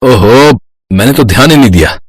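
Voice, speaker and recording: An actor playing an easygoing, teasing young husband in a cartoon story. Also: male voice, mid-range voice, animated, fairly quick, breathy edge, dry recording. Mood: reassuring.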